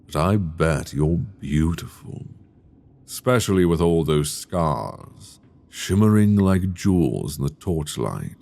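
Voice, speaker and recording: A man's voice: low voice, lively intonation, creaky, heavily acted. A man playing an imprisoned mad dragon king, seductive, obsessive and menacing, in an intimate, teasing, theatrical ASMR roleplay delivered close to the mic. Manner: theatrical, teasing, drawn-out delivery with soft asides. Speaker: audio roleplay voice actor. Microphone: close binaural microphone.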